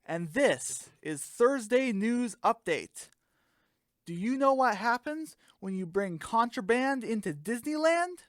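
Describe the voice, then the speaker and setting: A woman co-hosting a scripted comedy news segment, delivering her jokes briskly in the manner of a newsreader. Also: on the high side, fairly bright tone, dry recording, clean studio sound. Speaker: sketch comedy news co-host. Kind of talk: scripted parody newscast.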